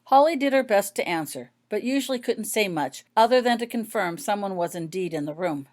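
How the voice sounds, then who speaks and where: A woman's voice: medium pitch, lively intonation, brisk, darkish tone. A woman reading her own fiction aloud as scripted story narration.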